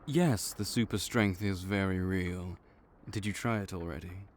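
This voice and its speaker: A man's voice: low voice, a little slow, slight background noise. A man voicing a male vampire intake officer in an audio roleplay, matter-of-fact and wry as he walks through the paperwork.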